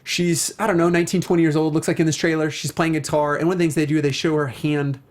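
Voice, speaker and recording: A man's voice: on the high side, steady tone, quick pace. A man hosting a talk show, chatting casually and emphatically about a video game with plenty of enthusiasm.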